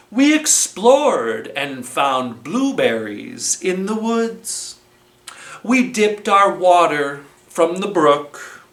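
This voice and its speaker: Very slow, animated storyteller in an echoey room. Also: medium pitch. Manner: slow, deliberate, expressive read-aloud delivery. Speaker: children's library storytime presenter. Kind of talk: animated storytelling with felt board. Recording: roomy, echoing, distant microphone.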